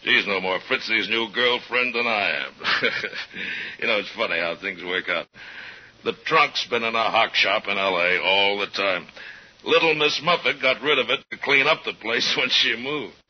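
An actor with an American accent playing a breezy, amused police partner with a husky voice, chatting in slang in a 1950s radio thriller. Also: male voice, lowish voice, moderate pace. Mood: amused, explaining. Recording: very muffled old radio recording.

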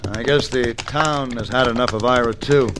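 A man's voice: mid-range voice, moderate pace, lightly acted. Actor as western gunman, very noisy old recording. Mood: dry, matter-of-fact. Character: composed western gunman helping a sheriff. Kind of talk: 1960 radio western acting.

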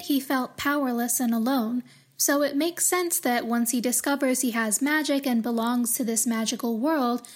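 A woman hosting a podcast, talking through literary analysis in an explanatory way with wry, casual asides. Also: high voice. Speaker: podcast host.

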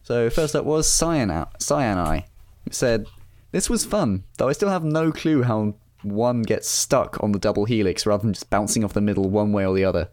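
A man hosts a casual podcast in a low voice. He steers the chat and reads out listener messages in a conversational, informal way. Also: expressive, normal speed, a little mellow. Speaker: podcast host.

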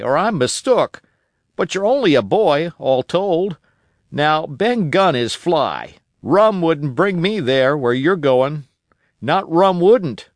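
Man voicing an old marooned sailor, eager, cunning, rustic dialect, high bouncy voice, dry sound. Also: no echo. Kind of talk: audiobook character voice.

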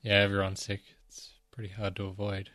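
A man co-hosting a casual podcast, croaky from a cold, asking questions and gently teasing. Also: fairly low pitch, monotone, fairly quick. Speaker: podcast co-host. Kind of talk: casual chat.

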